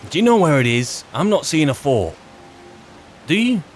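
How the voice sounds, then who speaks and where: A man's voice: on the high side, very animated, normal speed, slight background noise. A man hosting a gaming video, improvising roleplay commentary in a wry, musing and rambling manner.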